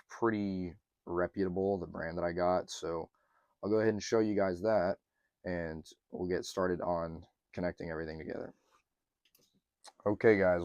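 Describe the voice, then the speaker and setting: Guy for a diy van vlog, very low, a bit hoarse, dry close sound. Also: dry recording. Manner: relaxed, chatty, many ums and throat clearing. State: slightly hoarse, losing his voice. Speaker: van life vlogger. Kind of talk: casual how-to narration to camera.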